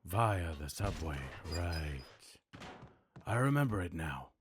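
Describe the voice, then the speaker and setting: A man's voice: low voice, very animated, slow, soft background music, heavily acted. A man voicing a weary, grim hardboiled noir gunman who narrates his own story in terse, brooding lines, as in a video game. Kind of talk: noir game monologue, voice acting practice.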